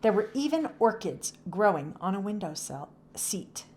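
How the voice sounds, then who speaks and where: A woman reading a children's story aloud as narrator, in an expressive, animated storytelling manner. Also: mid-range voice, normal speed, slight background noise.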